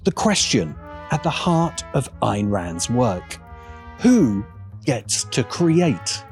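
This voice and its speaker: A man's voice: medium pitch, sing-song, slow pace, darkish tone. A man narrating a scripted video essay with wry, dramatic emphasis. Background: background music.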